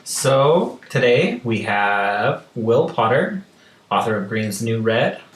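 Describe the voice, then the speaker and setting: A man hosting a podcast, chatting casually and chiming in with quick agreement. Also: mid-range voice, sing-song, reverberant, far from the mic. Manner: agreeable, short backchannel replies. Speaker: podcast host. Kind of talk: casual chat.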